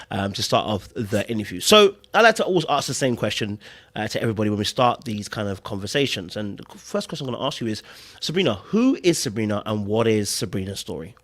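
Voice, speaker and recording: A man's voice: fairly low pitch, animated, quick pace, fairly bright tone. Playful guy hosting a podcast, reassuring, voice jumps all over the place. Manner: playful and reassuring, quiz-show teasing. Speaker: podcast host. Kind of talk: casual interview conversation.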